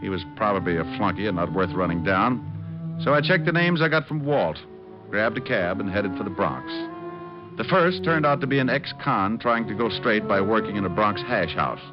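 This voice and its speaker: A man's voice: lowish voice, animated, normal speed, close to an everyday voice. A man playing a cocky, tough private detective in a 1940s radio drama, with a wry, clipped delivery. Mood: wry, narrating. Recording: muffled old-time radio recording, music behind.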